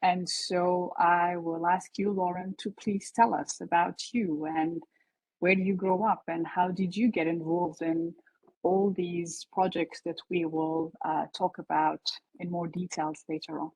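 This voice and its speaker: A woman professor moderating an interview, asking questions haltingly with many restarts. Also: on the low side, flat delivery, a little mellow, husky, no room sound, poor audio.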